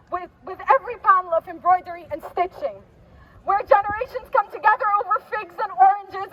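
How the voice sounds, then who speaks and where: A woman's voice: very high voice, lo-fi sound. A woman delivers a spoken-word poem as a public speech, declaiming with passion and repeated phrases. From Palestinian diaspora. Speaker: protest speaker, poet. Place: outdoors at a city street protest. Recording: noisy outdoor street with traffic ambience.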